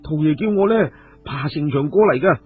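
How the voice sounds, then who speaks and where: A man's voice: on the high side, expressive, fairly quick, breathy edge. A man voicing a flustered, loyal guard in a Cantonese storybook tale, urgent and deferential. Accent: Hong Kong Cantonese. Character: flustered loyal young male guard bringing urgent news. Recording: tinny radio-like sound with music behind.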